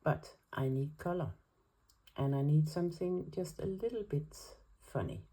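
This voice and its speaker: A woman's voice: low-pitched, unhurried, dark tone, husky. A woman from Denmark with a Danish accent, speaking English as a second language, chatting hesitantly while setting up her weekly planner. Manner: hesitant, many fillers, careful. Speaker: planner vlogger. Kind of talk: casual chat while planning.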